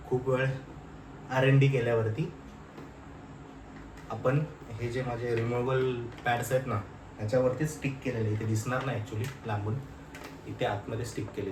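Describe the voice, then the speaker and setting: Male vlogger, informal thinking-aloud delivery, roomy and noisy recording. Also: medium pitch. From Maharashtra, India. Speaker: motovlogger. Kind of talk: casual hands-on unboxing and installation vlog. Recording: roomy, noisy, distant microphone.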